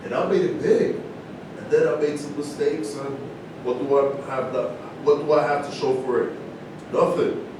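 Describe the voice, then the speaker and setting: A man's voice: on the high side, fairly even intonation, normal speed, natural voice. A man as a proud fallen champion, very echoey. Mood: bitter, rueful. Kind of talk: staged play reading. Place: large indoor room at a live staged reading, distant mic. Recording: very echoey, noisy room from far off.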